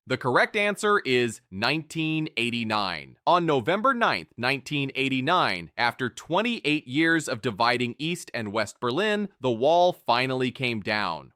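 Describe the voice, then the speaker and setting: A man narrating a history quiz from a script, with an energetic, emphatic delivery. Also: mid-range voice, dry recording, studio quality.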